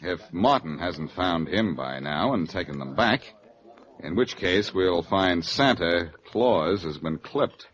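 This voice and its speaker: A man playing a quick-witted, confident, teasing amateur detective in a 1940s-style radio crime drama. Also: deep voice, full character voice. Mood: excited, deducing. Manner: assured, animated, teasing. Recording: dull old radio recording, clear noise.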